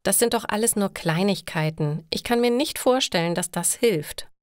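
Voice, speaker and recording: A woman's voice: medium pitch, moderate pace, dry recording, clean studio sound, natural voice. A woman voicing a practical, thrifty woman in an audio story, earnest and fretting over household matters. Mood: reasoning.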